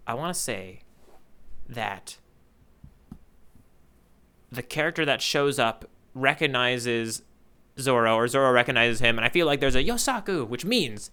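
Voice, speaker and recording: Bright, clear-voiced man thinking aloud. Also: medium pitch. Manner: thinks aloud, wavering between guesses. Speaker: podcast co-host, contestant in a guessing game. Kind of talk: casual chat, playful quiz banter.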